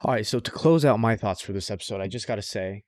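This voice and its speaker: A man's voice: fairly low pitch, fast talker, no room sound. A man co-hosting a podcast, chatting casually and enthusiastically with plenty of slang and some swearing. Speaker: podcast co-host. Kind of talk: casual podcast chat about anime.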